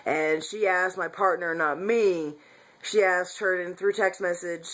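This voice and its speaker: A man's voice: sits high, steady tone, measured pace, pushed and bright. A man talking candidly in a casual personal vlog, rambling with frequent restarts and self-corrections. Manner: candid, confessional. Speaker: vlogger.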